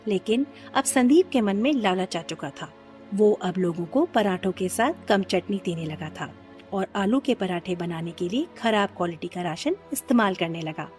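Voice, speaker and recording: A woman's voice: medium pitch, animated, moderate pace, darkish tone, faint music behind. A woman giving scripted narration for a children's cartoon story.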